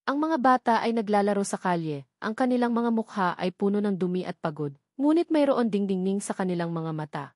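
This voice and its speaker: A woman reads a sentimental story as a narrator. Her delivery is earnest and measured, at a middling pitch. The sound is close and dry, with no room around it. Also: animated, a little mellow, dry recording.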